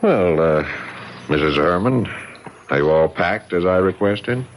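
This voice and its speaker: A man's voice: low voice, animated, unhurried, slight background noise. An actor playing a coolly sardonic, menacing bookie in a radio drama, with slangy, mocking tough-guy talk. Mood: smug command. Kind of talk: 1970s radio drama acting.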